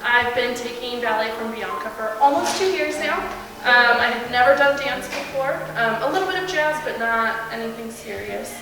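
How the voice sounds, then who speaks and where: High, bubbly woman in an echoey hall. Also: moderate pace, background noise. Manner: bubbly, enthusiastic. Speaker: adult ballet student. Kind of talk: testimonial interview. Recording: very echoey hall, distant mic, music.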